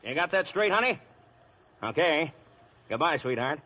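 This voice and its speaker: A crooked american cop boss, bossy slangy old radio acting, forceful and swingy, dull vintage broadcast sound. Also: male voice, a little high, very animated, moderate pace, heavily acted. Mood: sweet, hurried. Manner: gruff, barking orders in gangster slang. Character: crooked, bullying police chief grabbing stolen money. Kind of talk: 1930s-40s radio comedy acting.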